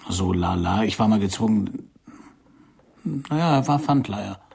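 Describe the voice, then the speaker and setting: An actor playing a wry, world-weary private detective in a noir radio play, with a dry, sardonic delivery. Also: male voice, on the low side, lively intonation, moderate pace, creaky, darkish tone, husky, heavily acted. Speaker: narrator and lead of a crime radio play. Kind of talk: first-person noir radio drama narration.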